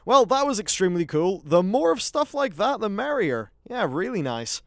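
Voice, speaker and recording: A man's voice: sits high, sing-song, normal speed, no echo, clean studio sound. A man hosting a gaming video, giving casual, informal gameplay commentary with some swearing.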